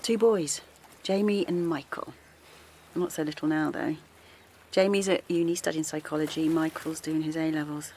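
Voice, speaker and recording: A kindly middle-aged mother who chats easily and reassures, with a quiet undercurrent of worry. Her voice sits a little low, with a faint outdoor hum behind her in this audio drama. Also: fairly even intonation, moderate pace. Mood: warm reassuring. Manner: reassuring, chatty, quietly worried. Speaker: campaigner. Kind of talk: naturalistic audio drama acting. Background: faint outdoor seaside ambience.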